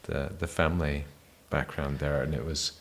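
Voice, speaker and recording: Man from spain, very low voice, missionary guest, measured, hesitant, reflective. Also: fairly even intonation, slight background noise. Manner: measured, hesitant with many fillers. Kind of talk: reflective personal testimony.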